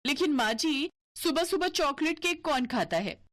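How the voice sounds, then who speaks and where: Woman voicing a taunting, high-pitched scheming sister-in-law. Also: expressive, moderate pace, fairly bright tone. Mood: annoyed, complaining. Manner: taunting, bossy, cackling. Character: jealous, scheming, haughty elder sister-in-law, adult woman.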